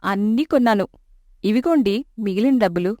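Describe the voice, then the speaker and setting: An actress voicing a feisty young daughter in an animated story, who talks in a snappy, scolding way. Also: female voice, mid-range voice, normal speed, no room sound, natural voice. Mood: plain. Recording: dry close studio mic.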